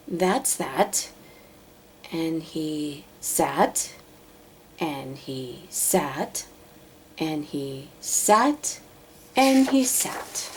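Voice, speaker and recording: A woman reading a children's storybook aloud, narrating in a dramatic, deliberate way. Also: on the low side, darkish tone, a little hiss or hum. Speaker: storybook reader.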